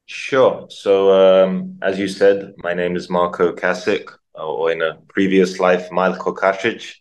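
A man's voice: sits low, little pitch movement, slow. A man, speaking as an interview guest in a reflective way, full of ums and repeated words. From Croatia, raised in London. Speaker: guest, nonprofit founder. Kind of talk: casual interview talk.

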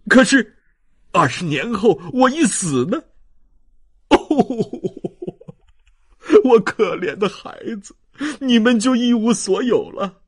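An excitable, doting old grandfather from a classic novel. His high, husky voice swoops dramatically as he gushes and sighs in slow, drawn-out phrases. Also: no echo, clean studio sound, heavily acted. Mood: lamenting, theatrical. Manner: effusive, dramatic sighs and exclamations.

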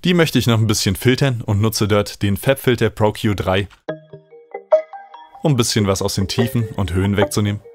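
Low-voiced man giving a tutorial. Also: normal speed, a little mellow. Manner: explanatory, informal. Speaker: music production tutor. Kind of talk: tutorial narration while demonstrating software. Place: small indoor home studio. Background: electronic music he is working on.

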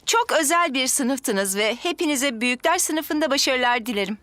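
An encouraging nursery teacher in a children's show dub. Her voice is high and bright, cheerfully praising and gently directing, recorded close and dry. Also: animated, moderate pace, dry recording. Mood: cheerful, organizing. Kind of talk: children's television drama dubbing.

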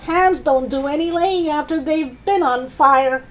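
A high, shrill old grandmother from a children's storybook. She is spiteful and boastful, scolding at the top of her voice. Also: a little slow. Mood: scornful. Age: older. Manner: scolding, shouting.

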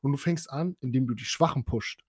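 A man chatting casually on a podcast, excitable and crude, swearing and mixing in English slang. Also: mid-range voice, fairly quick, slightly rough, dry recording, clean studio sound. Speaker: podcast host. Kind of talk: casual chat.

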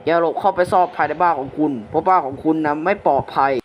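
A man playing a solemn government announcer, formally reading a measured emergency warning for an analog horror broadcast. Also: a little high, fairly even intonation, lo-fi sound, close to an everyday voice. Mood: solemn, official. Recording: clearly noisy hissing background.

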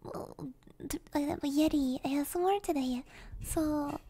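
A woman with a very high, mellow voice hosts a relaxed ASMR stream. She talks slowly and softly, close to a binaural mic, with a playful manner that can slip into a whisper. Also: a little hiss or hum. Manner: soft-spoken, playful. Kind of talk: ASMR livestream chat, drifting into whispers. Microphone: close binaural stereo microphone.

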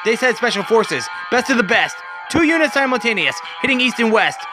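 A man playing a gruff, resolute veteran police commissioner in a comic-book reading, terse and forceful. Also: very high-pitched, big pitch swings, fairly quick, music in the background. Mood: grim.

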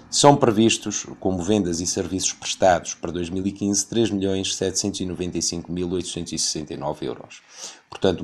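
Measured European Portuguese man, low voice. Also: darkish tone. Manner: measured, reads long figures carefully, critical tone. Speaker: radio commentator. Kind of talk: scripted opinion commentary. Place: radio studio.

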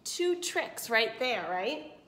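A woman with a slightly high voice reads a children's picture book aloud. She is expressive and breaks off for small asides and questions. The room around her is clearly echoey. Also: takes it slowly. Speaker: storyteller reading aloud to children.

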